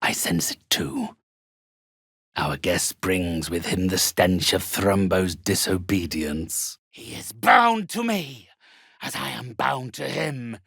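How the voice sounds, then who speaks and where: An actor playing a sinister, haughty undead necromancer in a video game, with a raspy voice and formal, drawn-out, menacingly polite delivery. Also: male voice, low voice, sing-song, a bit bright, no echo, studio quality, exaggerated voice. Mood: ominous. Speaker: spirit medium. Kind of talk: theatrical video game villain acting.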